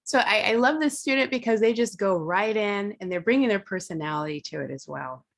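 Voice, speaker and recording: A woman's voice: medium pitch, animated, a little fast, gravelly. A woman instructor presenting at an online teaching workshop, talking casually and thinking aloud, with fillers and restarts.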